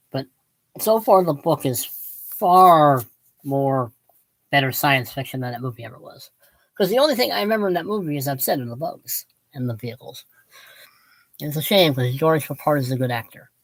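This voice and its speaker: Book vlogger, female, late fifties. Low voice, dry close sound, casual and digressive, self-deprecating, opinionated. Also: no room sound, low-quality recording. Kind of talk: casual rambling book talk to viewers.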